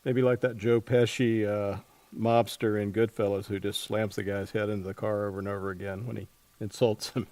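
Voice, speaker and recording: A man hosting a casual book interview, speaking in a halting way as he thinks aloud. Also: lowish voice, flat delivery, a little mellow, no room sound. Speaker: podcast host interviewing an author.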